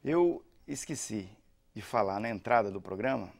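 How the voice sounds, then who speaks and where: Male TV host, gravelly, anecdotal and chatty. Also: lowish voice, expressive, normal speed. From Curitiba, Brazil. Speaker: TV talk show host, lawyer. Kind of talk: talk show hosting. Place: TV studio.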